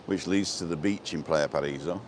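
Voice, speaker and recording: A man's voice: rumbling low, brisk. A man from England with a British accent, chatting casually and jokily as he hosts a rambling live walking tour and answers viewers. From born in England, lived in Wales and abroad. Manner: rambling, jokey. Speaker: travel vlogger and livestream host. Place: outdoors, walking along a seaside promenade. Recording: noisy outdoor street and seafront ambience.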